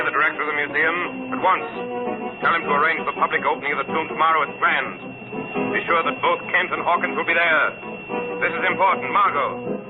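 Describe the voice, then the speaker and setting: An actor playing a mysterious, commanding avenger in a deliberate, ominous old radio drama style. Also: male voice, fairly high pitch, close to an everyday voice. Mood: urgent command. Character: mysterious commanding avenger, a menacing unseen crime fighter. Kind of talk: 1940s radio drama acting. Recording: noisy tinny old-time radio recording.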